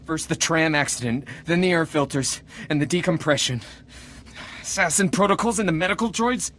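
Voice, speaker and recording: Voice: medium pitch, moderate pace, fairly bright tone, natural voice. An actor as a strained, wounded, defiant colonist. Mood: angry, accusing. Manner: accusing, strained. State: in pain, gasping. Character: wounded, bitter, defiant colonist of a conquered world. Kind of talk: dramatic video game acting.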